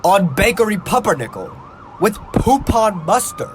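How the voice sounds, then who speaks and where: A man's voice: high voice, big pitch swings, normal speed. A man acting an eager, easily impressed construction worker on stage, chatting curiously and bantering with his pals. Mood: amazed. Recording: outdoor recording with background noise, sirens.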